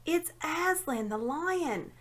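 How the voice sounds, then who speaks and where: Lady doing a bossy schoolgirl from a storybook, high and urgent, pitch jumps all over. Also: lively intonation, slow pace. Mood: desperate, insistent. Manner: insistent, emphatic.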